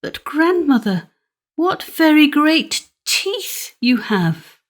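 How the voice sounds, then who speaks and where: A woman's voice: high-pitched, sing-song, slow pace, voice pushed up, heavily acted. A woman voicing an innocent, polite little girl in a storybook, sweet and full of naive wonder. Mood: amazed.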